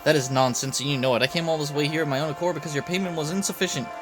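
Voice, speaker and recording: A man's voice: a little high, fairly even intonation, very fast, music in the background, close to an everyday voice. A man voicing an arrogant, perceptive king from a game, with pompous, formal speech. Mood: lordly.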